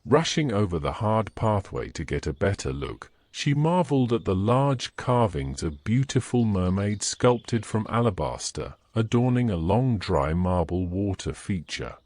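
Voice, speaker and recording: A man's voice: fairly low pitch, sing-song, a little slow, few pauses, darkish tone, dry recording. A man reading scripted narration for a fantasy story as its narrator.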